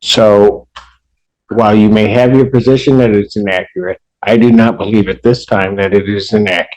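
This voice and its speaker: A man's voice: lowish voice, fairly even intonation, normal speed, darkish tone, low-quality recording. An American man speaking as a judge in a courtroom, stern and admonishing, explaining his decisions at length. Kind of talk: courtroom address from the bench.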